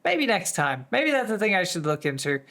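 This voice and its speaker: A streamer with a voice that is going hoarse, chatting casually to viewers in a distracted, rambling way. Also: mid-range voice, big pitch swings, moderate pace, a bit bright. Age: adult, working full-time. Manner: easily distracted, rambling with many restarts. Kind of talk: casual live-stream chat.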